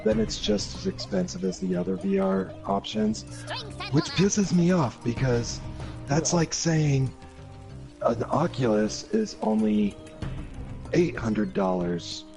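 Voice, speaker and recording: A man's voice: a little high, expressive, slow, vocal fry. A man giving opinions in a casual, argumentative gaming chat, mimicking the people he disagrees with. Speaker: gaming show co-host. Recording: loud music behind the voice. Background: music.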